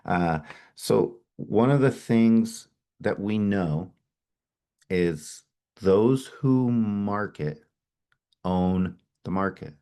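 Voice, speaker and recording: A middle-aged man with a cold giving an energetic webinar sales presentation as a company founder, often repeating words as he restarts. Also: lowish voice, extremely slow, pauses often, clean tone. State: has a cold, sniffling.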